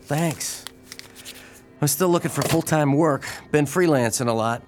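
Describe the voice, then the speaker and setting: A man's voice: fairly high pitch, a little slow, faint music behind, natural voice. A man playing a friendly, easygoing young comic book fan in a radio drama, chatty and enthusiastic. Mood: casual.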